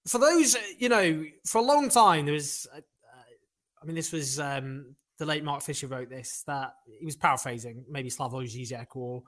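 A man with a British accent hosting a conversational interview, putting his questions in a halting way and rephrasing as he goes. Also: a little high, animated, a bit bright. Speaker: interviewer, host.

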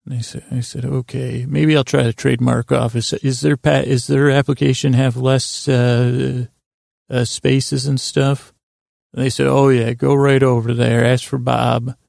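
A man hosting a bedtime podcast in a rambling, halting monologue full of stammered restarts and tangents, with self-deprecating deadpan humour. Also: medium pitch, vocal fry, dry recording, clean studio sound. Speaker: podcast host.

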